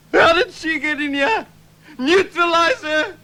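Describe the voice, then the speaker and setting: A man playing an exasperated, bossy authority figure, shrill and emphatic, in madcap radio comedy. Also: lively intonation, takes it slowly, pushed and bright, heavily acted.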